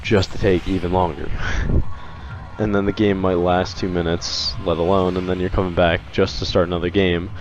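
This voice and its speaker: Low-voiced young man, hoarse and rambling. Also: little pitch movement, normal speed. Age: young adult. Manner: rambling, hesitant, many restarts. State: hoarse from illness. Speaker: video game commentator. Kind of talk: casual opinion vlog.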